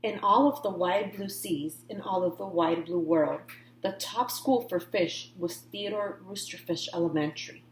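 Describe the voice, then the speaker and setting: A woman's voice: on the low side, normal speed, echoey room, slight background noise, rough recording. A woman reading a picture book aloud to children, giving key words dramatic emphasis and adding informal asides. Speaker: read-aloud storyteller.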